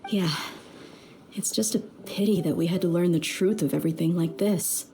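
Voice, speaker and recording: A woman's voice: fairly low pitch, moderate pace, warm tone, faint music behind, natural voice. An actress playing a tough young woman mercenary in a video game, dry and weary with tenderness underneath. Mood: wistful. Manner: dry, weary, plain-spoken. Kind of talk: video game character dialogue.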